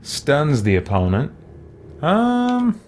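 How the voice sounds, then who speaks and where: A man's voice: on the high side, wildly swooping pitch, drawn-out words, a little mellow. A man hosting a let's play, thinking aloud casually and playfully as he works through a game.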